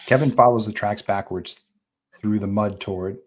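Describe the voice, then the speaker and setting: A man's voice: lowish voice, normal speed. A man narrating stage directions from a film script at a live table read.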